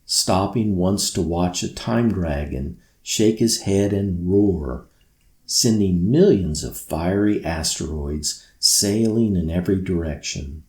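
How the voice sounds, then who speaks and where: Slow gentle guy reading a bedtime storybook, kinda echoey room. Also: low-pitched. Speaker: author reading own story. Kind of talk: scripted storybook narration. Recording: roomy, echoey sound.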